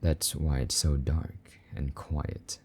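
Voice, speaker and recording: A man playing a possessive but tender, lonely demon lover in an intimate romantic audio roleplay, speaking softly and coaxingly in a husky voice. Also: flat delivery, slight background noise, heavily acted. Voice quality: very deep, dark, husky. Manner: soft, slow-burning, gently coaxing endearments.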